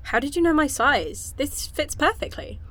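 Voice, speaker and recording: An actress playing an anxious, sardonic woman scientist in an audio drama, with a halting, dry, self-deprecating delivery. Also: high-pitched, animated, fairly bright tone. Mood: surprised, wary.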